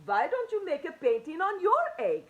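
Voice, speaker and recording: A kindly male rabbit painter from a storybook, gentle and encouraging, as a woman reads him aloud. His lines rise and fall in a lively, friendly way. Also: very high voice, moderate pace, muffled recording, pitched above the performer's own voice, full character voice.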